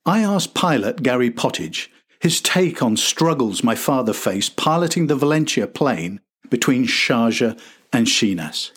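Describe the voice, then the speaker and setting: A middle-aged man hosts and narrates from a script in a documentary manner. His mid-pitched voice has a husky, unpolished grain. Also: very animated, a little slow, fairly bright tone. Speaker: podcast host and narrator.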